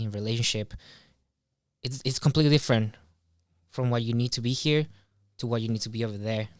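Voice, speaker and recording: Young man, mid-pitched, very dry sound. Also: dry recording. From Ecuador. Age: young adult. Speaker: podcast guest. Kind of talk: casual chat, personal reflection. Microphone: close boom-arm studio microphone.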